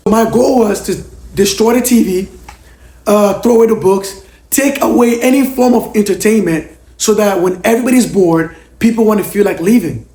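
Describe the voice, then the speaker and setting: A hoarse young man who is losing his voice answers questions in a casual vlog, emphatic and tagging "okay?" onto his points. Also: sits high, moderate pace, dark tone. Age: young adult. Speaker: former reality show contestant. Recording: roomy echo.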